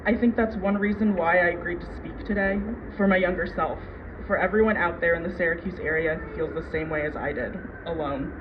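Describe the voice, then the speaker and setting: Male community speaker, high register, earnest emphatic delivery, dull outdoor event recording with plenty of noise. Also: steady tone, fairly quick, hardly any pauses. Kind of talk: prepared heartfelt public speech. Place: outdoors at city hall.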